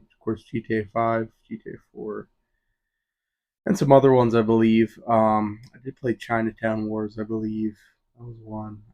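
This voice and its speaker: A man's voice: mid-range voice, highs cut off. A man of twenty hosting a solo podcast, rambling casually with lots of "um" and "you know". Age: 20s. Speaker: podcast host.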